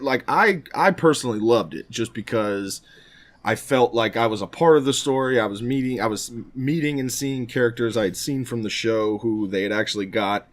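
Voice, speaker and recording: A man chatting casually as a podcast co-host, often hedging and circling back as he talks. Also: on the low side. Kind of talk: casual chat about games.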